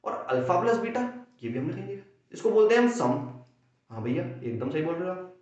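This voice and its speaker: A young man from India teaching a maths exam-prep lesson with a sore throat, energetic and playing both himself and his students. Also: sits high, fairly quick. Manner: energetic, acts out students' questions and answers himself. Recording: echoey room, dull lo-fi sound.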